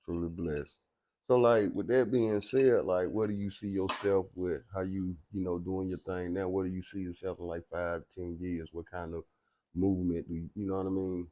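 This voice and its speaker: Male podcast interviewer, middle-aged. Low voice, laid-back slang, chimes in with brief agreement. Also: fairly even intonation, moderate pace, warm tone. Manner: slangy, frequent short affirmations. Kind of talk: casual interview chat.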